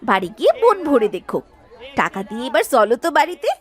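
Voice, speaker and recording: Woman voicing a whiny, high-pitched young village wife. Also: big pitch swings, brisk, a faint crowd. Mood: bossy, greedy. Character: young Bengali village wife, greedy, demanding, fond of food. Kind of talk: cartoon story voice acting.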